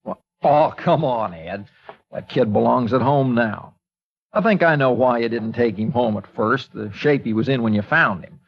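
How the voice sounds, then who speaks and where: An actor playing an earnest small-town newspaper editor, pleading and indignant, in 1940s radio acting. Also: male voice, mid-range voice, normal speed, natural voice. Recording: muffled old radio recording.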